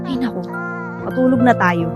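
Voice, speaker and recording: A woman's voice: on the high side, normal speed, dull audio, over loud music, natural voice. A woman playing a patient young wife and devoted new mother in a Filipino radio drama. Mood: insistent, reassuring.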